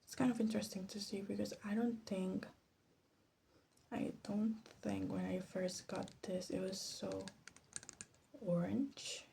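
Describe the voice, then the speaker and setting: A woman speaks in a soft, mellow voice with plenty of fry, often dropping to a whisper. She muses hesitantly and self-deprecatingly as an ASMR host, over faint background noise and small clicks. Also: medium pitch, flat delivery, measured pace. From Latin America. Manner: hushed, often slipping into a whisper. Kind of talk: soft-spoken ASMR commentary while demonstrating. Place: bedroom.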